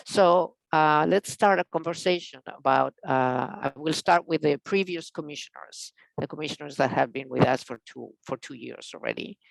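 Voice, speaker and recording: A middle-aged woman with a Spanish accent and a creaky voice chairs a public meeting. She speaks haltingly and deliberately, and the sound is close and dry. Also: sits low, no room sound, poor audio. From Spain. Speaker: commission chair.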